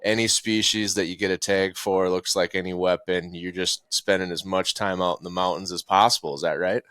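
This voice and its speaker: Deep smooth-voiced podcast host man. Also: a little fast, dry recording. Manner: relaxed, chatty, slangy questions. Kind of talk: casual interview hosting.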